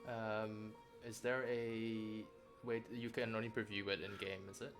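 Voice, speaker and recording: A man's voice: fairly low pitch, little pitch movement, a little slow. A man casually chatting as he follows a tutorial, tentatively thinking aloud while he works. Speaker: learner following along, lead writer. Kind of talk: casual chat while working on screen. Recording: music clearly playing behind the voice. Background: background music.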